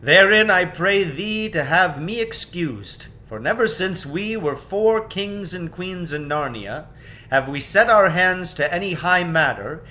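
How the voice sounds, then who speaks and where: Man playing a solemn, high-pitched young storybook king. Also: lively intonation, unhurried, bright, forceful tone, full character voice, rough recording. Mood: proud, resolute. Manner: formal, archaic, declamatory. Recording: background noise with low rumble.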